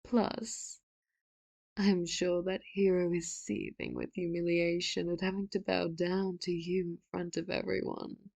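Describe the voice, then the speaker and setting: A woman voicing a manipulative, doting villain in an ASMR audio roleplay, speaking slowly and softly with sudden sharp threats, close to the mic. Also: on the low side, level tone, gravelly, no echo. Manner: slow, hushed, often whispered, turns sharp and loud for threats. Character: doting, manipulative villain, soft-spoken and menacing.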